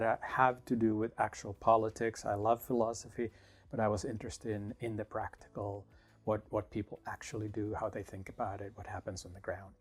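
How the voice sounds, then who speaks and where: A middle-aged man from Finland, a professor answering interview questions in a thoughtful, measured way with small restarts. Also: fairly low pitch. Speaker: political science professor.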